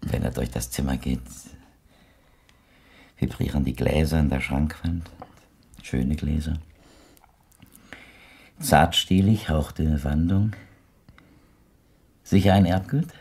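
Radio play: ingratiating blind widower, very low husky voice, poetic and formal. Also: male voice, slow, pauses often, darkish tone, heavily acted. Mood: flattering, observant. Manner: flowery, poetic, formally polite, hesitant. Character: courtly blind widowed former musician, ingratiating and observant. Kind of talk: German radio drama acting.

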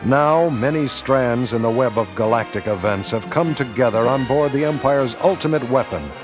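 A man narrating a radio drama in a grave, measured storytelling voice. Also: mid-range voice. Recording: narration over music score.

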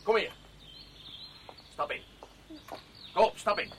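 An actor playing a brutal, menacing kidnapper in a radio play, barking curt commands. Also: male voice, sits high, slow, slight background noise. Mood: cold, commanding. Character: menacing, brutal male kidnapper forcing people at gunpoint.

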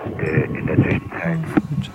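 A man's voice: a bass voice, sing-song, normal speed. A man in a heated phone conversation, irritable and argumentative, ranting and cutting in over a muffled line. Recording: muffled noisy telephone line recording.

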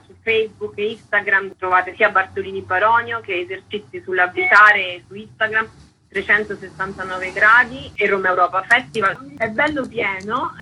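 Very bright-voiced woman with background noise. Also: medium pitch. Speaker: interviewed theatre artist. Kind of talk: spontaneous radio interview talk. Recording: clearly noisy background.

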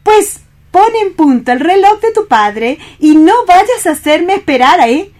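A woman's voice: very high voice, animated, a little slow, darkish tone. An actress with a Spanish accent from Spain playing a bossy, flamboyant, seductive woman in a radio play reading. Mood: impatient, commanding. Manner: imperious, theatrical.

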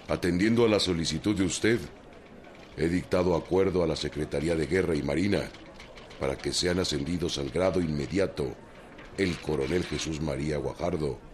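A man playing a formal president dictating an official telegram in a radio drama, in a measured manner. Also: rumbling low, slight background noise.

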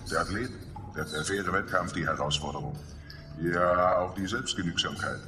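An actor playing a corporate arms-industry man in a German radio drama, speaking in halting, deliberate phrases. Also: low voice, natural voice. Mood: measured, businesslike. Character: smooth corporate arms-industry man seeking a new image. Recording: roomy, distant, music underneath.

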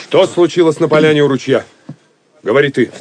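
An actor playing a bold, decisive outlaw archer chief in an audio drama, giving clipped, authoritative orders. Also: male voice, a little high, fairly quick, a little mellow, slight background noise, natural voice. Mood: resolute.

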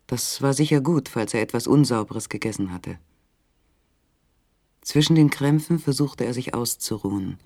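A man narrating a literary radio play in a measured, sober manner. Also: medium pitch, a little mellow, no room sound, clean studio sound.

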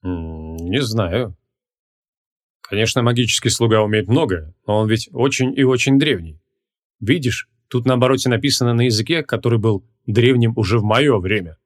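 A man voicing a proud, smug ancient wizard in an audiobook, with a gruff, lordly and measured delivery. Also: mid-range voice, lightly acted. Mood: pensive.

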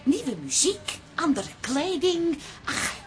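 An actress playing a warm, excitable middle-aged wife who searches for her words in a naturalistic radio drama. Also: high voice, takes it slowly, over music, exaggerated voice. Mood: hesitant, wistful. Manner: hesitant, searching for words, exclaiming.

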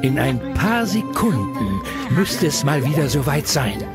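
A man's voice: mid-range voice, sing-song, unhurried, over loud music. A man narrating a children's audio play as a playful storyteller who relishes long, silly words.

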